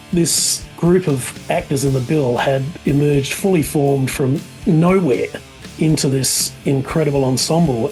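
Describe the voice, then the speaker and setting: A man hosting a podcast interview in a conversational, thoughtful and halting way. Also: medium pitch, darkish tone, low-quality recording. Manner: hesitant, halting, thoughtful phrasing.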